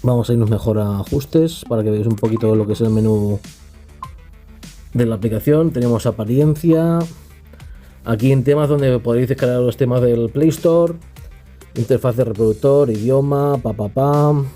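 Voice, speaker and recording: A man with a Castilian Spanish accent talks through a tech review in an informal, off-the-cuff way. His voice is mid-pitched and mellow, with music playing faintly behind him. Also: moderate pace. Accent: Castilian Spanish from Spain. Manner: informal, unscripted, tags phrases with questioning checks. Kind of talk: casual spoken app review.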